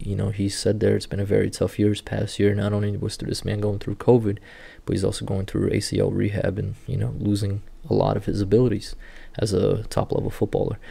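A man in his thirties, a native Portuguese speaker, who works with rehab athletes and calmly walks viewers through training clips, recorded indoors on a webcam. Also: on the low side, vocal fry, breathy edge. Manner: steady, instructive walk-through of clips. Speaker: sports rehab clinician. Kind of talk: explanatory video commentary. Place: indoor room.

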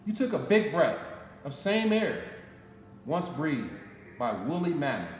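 A man's voice: a little high, sing-song. American man reading dramatically in an echoey hall. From Detroit, United States. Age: 40s. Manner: dramatic, deliberate phrasing with emphatic repetition. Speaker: firefighter reading a picture book aloud. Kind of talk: expressive children's story read-aloud. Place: concrete-floored fire station garage, indoors. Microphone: camera a short distance away. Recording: echoey hall, noticeable background noise.

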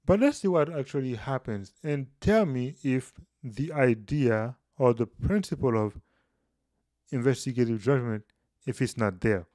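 Man, 30s, Bible teacher, casual explainer, mid pitch, dry booth sound. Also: unhurried, no room sound. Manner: explanatory, animated hand gestures. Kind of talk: casual Bible study video. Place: small room indoors. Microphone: studio mic on boom, headphones.

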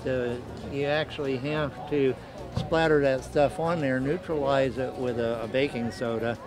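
A man's voice: medium pitch, fairly even intonation, unhurried. Middle-aged male metalworker, plain technical talk, music behind. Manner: matter-of-fact, technical. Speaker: metal artist. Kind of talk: casual interview answers.

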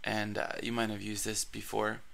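A man hosting a screen-recorded blogging tutorial, his voice raspy from allergies, talking casually with plenty of fillers and restarts. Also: lowish voice, completely flat delivery, moderate pace, fairly bright tone.

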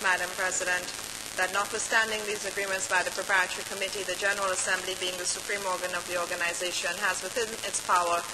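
A woman from Grenada, an ambassador presenting a committee report to an assembly in a formal, procedural manner. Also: medium pitch. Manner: formal and procedural, steady delivery. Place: large assembly hall. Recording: hissy conference recording.

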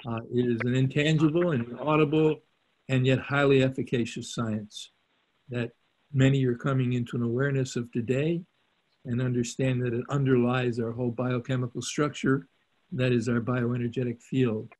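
An older man hosting a video call, talking in a halting, rambling way with many fillers, recorded through a laptop webcam in a small room. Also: medium pitch, little pitch movement, a little mellow, rough recording. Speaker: call host.

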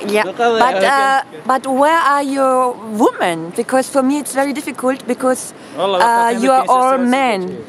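A woman's voice: high voice, measured pace, hardly any pauses. A woman doing a casual street interview in English as a vlogger, recorded outdoors on a handheld camera. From Germany. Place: outdoors at night in a city square. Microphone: handheld compact camera. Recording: outdoor handheld camera in open city square.

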